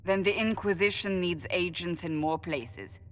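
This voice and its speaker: A woman's voice: lowish voice, measured pace, big-room sound, lightly acted. A woman playing a stern, suspicious warrior in a video game, speaking bluntly and formally. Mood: firm, wary.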